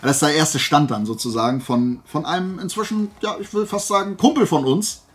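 A man's voice: on the high side, brisk. A man hosting a haul video, rambling casually to his viewers, often drifting off track and correcting himself. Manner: chatty, digressive, self-correcting. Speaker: flea market haul video host.

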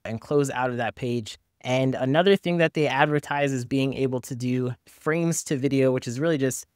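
A man's voice: mid-range voice, normal speed, clear, resonant tone, no room sound. A man in his thirties giving a casual screen-share review, conversational and candid, speaking directly to viewers into a close desk microphone. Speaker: host, tech reviewer. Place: small home office or studio, indoors. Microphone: desktop microphone close in front.

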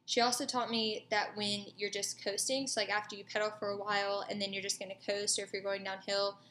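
A woman's voice: mid-range voice, a bit bright, a little hiss or hum. A woman in her twenties with an American accent, giving a casual, matter-of-fact spoken reflection as a student, recorded indoors on a webcam. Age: 20s. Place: small furnished room indoors.

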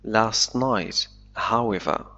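A man teaching English, reading a novel aloud slowly and deliberately and explaining it line by line, an Arabic speaker. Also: lowish voice, vocal fry, bright, forceful tone. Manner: slow deliberate reading, then quick explanations. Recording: dull lo-fi recording.

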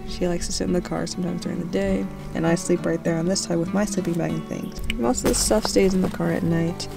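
A woman's voice: lowish voice, steady tone, fast talker, creaky. A woman vlogging at a campsite, chatting as she shows her car and gear on a handheld camera. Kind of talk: casual vlog walkthrough. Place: outdoor campsite. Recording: background music.

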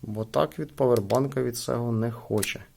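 A man giving a casual, hands-on product review, speaking haltingly as he thinks aloud. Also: medium pitch, fairly even intonation, gravelly, mellow, slight background noise. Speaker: gadget unboxing reviewer.

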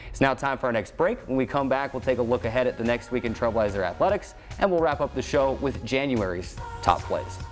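A man's voice: mid-range voice, fairly quick, few pauses, faint music behind. A man in his twenties with an American accent, hosting and interviewing in a sports broadcast style. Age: 20s. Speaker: sports show host. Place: small indoor locker-style studio set.

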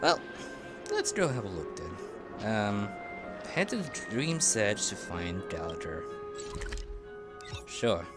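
A man gives casual live game commentary at a slow pace. His low voice swings widely in pitch, and he drops in playful, hesitant asides. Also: very animated. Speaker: game streamer. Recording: game music behind the voice. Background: video game music.

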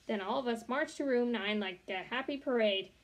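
A young adult woman reads a children's story aloud in a fairly high voice. She is expressive, and now and then she trips on a word and corrects herself. She sits in a small bedroom, speaking into a device at arm's length. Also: normal speed, poor audio. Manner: expressive reading with occasional stumbles and self-corrections. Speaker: read-along storyteller. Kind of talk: reading a children's chapter book aloud.

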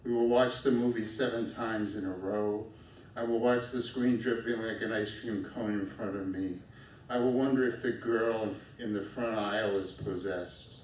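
A middle-aged man with a low voice reads his poem in a steady, measured way. He stands at a stand mic on a small stage. The sound is caught from far off in an echoey room. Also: a little hiss or hum. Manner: steady, measured reading from paper at a lectern. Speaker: poet reading his own work. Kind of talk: poetry reading. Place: small dark stage with spotlights. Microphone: stand mic at a lectern, filmed from far off.

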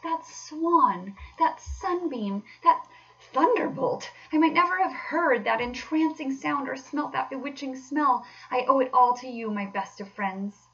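A rapturous storybook toad gentleman, played by a woman, swooning and theatrical. The voice rides high and bright, lifting into breathless exclamations as he dreams aloud about his obsession. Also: expressive, a little slow, recorded from a distance, dull audio, full character voice. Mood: dreamy, adoring.

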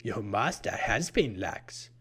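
Man voicing a husky, low, menacing monster. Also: sing-song, fairly bright tone, exaggerated voice. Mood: smug, lecturing. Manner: odd, deliberate way of talking with drawn-out phrases. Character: menacing, haughty purple-skinned vampire-like killer, male, unhurried.

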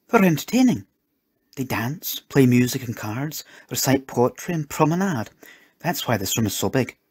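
A man's voice: medium pitch, sing-song, normal speed, darkish tone, slightly rough, no echo, studio quality, voice pushed up. A man voicing a self-important, upper-class young boy in a storybook, earnest and boastful. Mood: proud, explaining.

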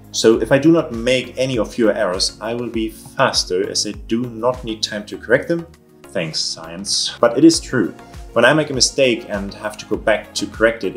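A young man whose first language is German, talking through a casual vlog in an earnest way with dry ironic asides, recorded at his desk in a home office. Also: lowish voice. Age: young adult. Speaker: vlogger and social auditor. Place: home office room, indoors.

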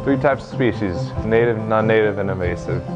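A young man giving an informal tech demo talk in a hesitant, halting way, recorded in a meeting room. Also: lowish voice, muffled recording, loud music behind the voice. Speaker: presenter, game developer. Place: indoor hackerspace meeting room, small stage.

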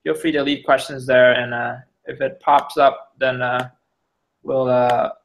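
A man hosting a live online interview, asking questions haltingly with many restarts and fillers. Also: mid-range voice, little pitch movement, rough recording. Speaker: interview host.

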